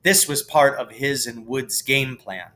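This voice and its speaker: A man's voice: medium pitch, unhurried, bright, forceful tone. A man reading a storybook aloud as scripted narration. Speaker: audiobook reader, teacher.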